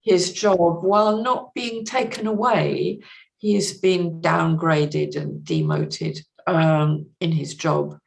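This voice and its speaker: A woman speaking as a literary interview guest, explaining things in an articulate, considered way and pausing to find the right words. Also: sits low, lively intonation, vocal fry, poor audio. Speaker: podcast guest, literary translator.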